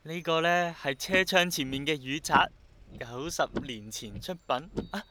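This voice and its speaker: A man's voice: a little high, unhurried, sharp tone, a little hiss or hum. An actor playing an eager, earnest, insistent young man in a radio drama, with a Hong Kong accent. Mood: proud, eager. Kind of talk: Cantonese radio drama acting.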